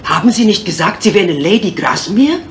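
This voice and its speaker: A woman's voice: mid-range voice, lively intonation, fairly quick, slight background noise, lightly acted. An actress playing a puzzled, wary female household servant in a German radio crime drama.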